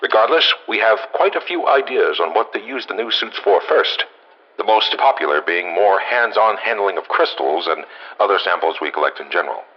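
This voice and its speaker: A man plays a gruff senior scientist with a lowish voice, dictating a video game audio log. He is formal but musing and dryly humorous, heard through a dull, radio-like recorder. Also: expressive, moderate pace. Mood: businesslike. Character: gruff, wry male senior scientist, Doctor Masterson.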